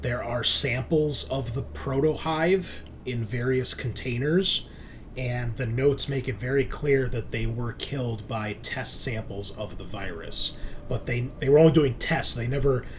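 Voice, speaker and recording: A man running a tabletop horror game as game master, narrating in a halting way as he thinks aloud and checks his notes. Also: mid-range voice, a little hiss or hum.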